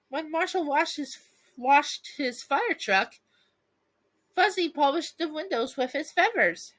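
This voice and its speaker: A woman reading a bedtime story aloud and chatting casually to viewers, with a playful, giggly, expressive manner. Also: high voice, poor audio. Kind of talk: storybook read-aloud with casual asides to fans.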